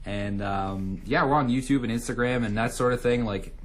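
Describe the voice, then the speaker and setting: A man's voice: medium pitch, normal speed, a little hiss or hum. A man guesting on a radio show, promoting his own program in an upbeat, chatty way full of fillers. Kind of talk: casual promotional chat.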